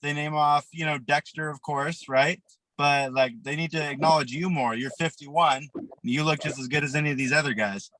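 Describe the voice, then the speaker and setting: A man's voice: on the high side, fairly even intonation, moderate pace, fairly bright tone, dry recording, rough recording. A man in his thirties co-hosting a casual podcast, speaking in an earnest, enthusiastic way. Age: 30s. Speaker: podcast co-host.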